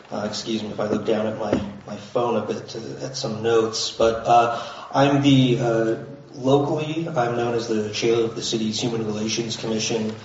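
A man introduces himself on a panel in a low, even voice that barely rises or falls, stumbling through many uhs and restarts. He is far from the microphone, and his voice is dulled and washed in heavy room echo. A faint noise sits underneath. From United States. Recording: distant, dull, very echoey room.

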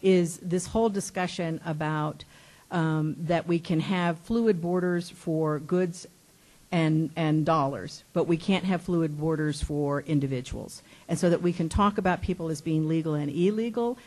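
Low-voiced impassioned woman panelist. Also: a little slow, darkish tone, rough recording. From El Paso, Texas. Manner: impassioned, rambling, restarts, laughs as she talks. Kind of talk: panel discussion answer.

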